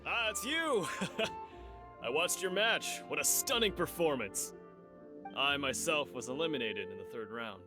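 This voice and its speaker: A man's voice: a little high, lively intonation, measured pace. A man playing a courteous, effusive and rueful martial-arts contestant in a video game. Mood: impressed, rueful. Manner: effusive, wordy, formal phrasing. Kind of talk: video game character acting. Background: game music.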